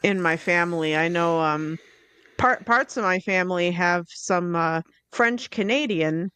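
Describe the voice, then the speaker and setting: A woman's voice: on the low side, measured pace, fairly bright tone, smooth, no room sound. A woman from the United States co-hosting a podcast, chatting casually with a wry, joking manner. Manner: wry, joking, laughs easily. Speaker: podcast co-host.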